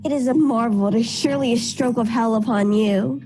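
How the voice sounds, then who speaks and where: A woman's voice: a little high, a little fast, dark tone, faint music behind, natural voice, rough recording. A woman acting a morbid, superstitious, grieving Puritan mother in a radio play, intense and ominous with archaic phrasing. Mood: eager, scandalized. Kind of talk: period stage drama acting, radio play.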